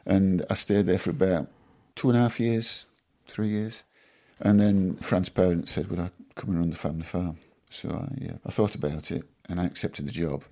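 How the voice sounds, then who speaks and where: A man in his sixties from Yorkshire, a farmer being interviewed on a podcast, telling stories in a measured, modest way with dry humour. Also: low-pitched, steady tone, dark tone. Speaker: guest farmer. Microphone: podcast microphone.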